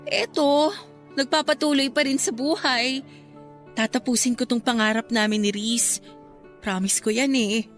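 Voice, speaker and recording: A woman's voice: on the high side, steady tone, unhurried, faint music behind, natural voice. A woman playing a grateful, wistful young woman in a Filipino radio drama, speaking with a Filipino accent. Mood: earnest, wistful. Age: young adult. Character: grateful, wistful young woman, newly graduated, missing her late love.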